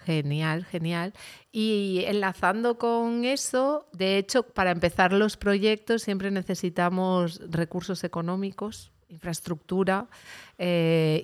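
A woman's voice: lowish voice, clean tone. A woman hosting a casual podcast conversation, leading with questions and tagging her points with "¿no?". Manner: leads with questions, tags "¿no?". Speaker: podcast host.

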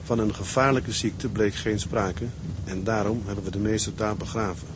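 An actor playing a young ship's officer, a devoted and plain-spoken husband, in a radio drama. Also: male voice, lowish voice, steady tone, moderate pace, lightly acted. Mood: tender, sober. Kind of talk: radio drama acting with letter narration. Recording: noisy drama track with ambient sound.

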